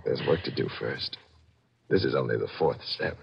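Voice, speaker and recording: Husky, deep-voiced scheming killer. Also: male voice, lively intonation, full character voice. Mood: calculating. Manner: calculating, measured, conspiratorial. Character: scheming murderous man, smooth-tongued lover and narrator. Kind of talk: 1940s radio thriller acting. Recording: muffled old radio recording.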